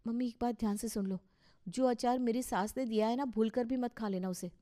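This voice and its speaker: A woman's voice: a little high, fairly quick, warm tone, pitched above the performer's own voice, close to an everyday voice. A woman voicing an earnest young married daughter in a cartoon story, speaking with urgent caution. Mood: earnest warning.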